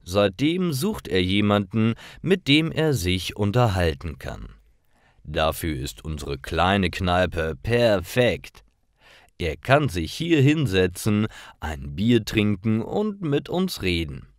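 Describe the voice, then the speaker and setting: A man reading scripted stories aloud for language learners in a careful, deliberate manner. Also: lowish voice, very animated, dry recording, clean studio sound.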